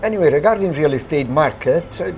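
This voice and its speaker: A man whose first language is Italian, hosting a casual interview, halting and laughing often as he asks his questions. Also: a little high, lively intonation, a little mellow. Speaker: interviewer, host. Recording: faint ambient noise.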